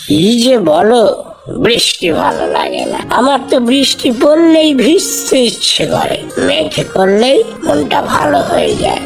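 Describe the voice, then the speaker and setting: A woman voicing a food-loving family father in a cartoon, homely and expressive. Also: high-pitched, unhurried, vocal fry, music in the background, heavily acted, rough recording. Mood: cheerful. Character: food-loving family father, cheerful but easily sulky. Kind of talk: cartoon dialogue.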